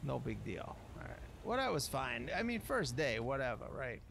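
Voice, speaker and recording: A man's voice: medium pitch, big pitch swings, normal speed, creaky, background noise. A man giving casual, improvised gameplay commentary in slangy, grumbling talk with mild minced oaths. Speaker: gamer narrating a playthrough.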